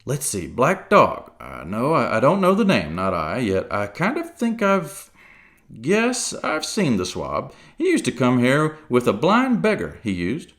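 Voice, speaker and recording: A man's voice: medium pitch, huge pitch swings, unhurried, fairly bright tone, voice pushed up, heavily acted. A man reading aloud and voicing a cunning, one-legged pirate cook who blusters in salty sea talk. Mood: blustering.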